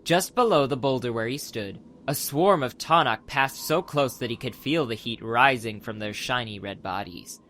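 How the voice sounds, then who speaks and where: A man's voice: medium pitch, moderate pace, hardly any pauses, fairly bright tone, slight background noise. A man narrating a dramatized adventure audiobook from a script as the storyteller.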